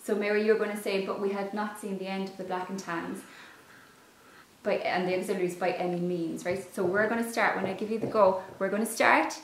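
A woman's voice: mid-range voice, fairly even intonation, brisk, reverberant, slight background noise, rough recording. A woman with an Irish accent giving casual, step-by-step instructions as a director.

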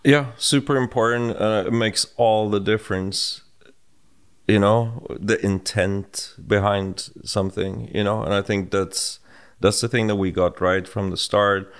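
A middle-aged Swedish-accented man talking into a boom studio mic at home. His voice sits low with a gravelly grain, yet it stays smooth and clear. He speaks reflectively, pausing and restarting his thoughts. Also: steady tone. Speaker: podcast co-host. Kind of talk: casual conversation. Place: home studio room with bookshelves. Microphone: boom-mounted studio mic.

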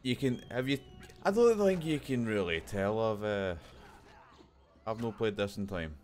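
A man's voice: lowish voice, lively intonation, measured pace, a little mellow. A young man streaming a video game, chatting casually and mocking his own play with frequent laughs, recorded at home on a desk mic. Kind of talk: casual live commentary over gameplay. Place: home room. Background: game music.

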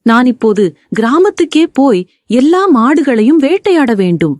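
A woman's voice: sits high, big pitch swings, normal speed, a little mellow, no echo, studio quality, pitched above the performer's own voice. A woman voicing a big, hungry tiger in a children's story, with a commanding manner. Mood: threatening. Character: big hungry threatening tiger who softens to mercy.

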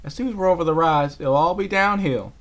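A man's voice: fairly high pitch, brisk, voice pushed up, lightly acted. A man voicing a plucky, no-nonsense female earth pony with a put-on rural Southern drawl and a folksy, blunt manner. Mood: impatient.

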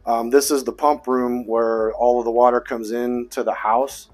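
Guy showing off his basement fish tank plumbing, easygoing and matter-of-fact, middle pitch. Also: little pitch movement, moderate pace, darkish tone. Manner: explanatory, matter-of-fact, detailed. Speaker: fish tank hobbyist giving a tour. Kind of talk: casual walkthrough tour. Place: basement pump room.

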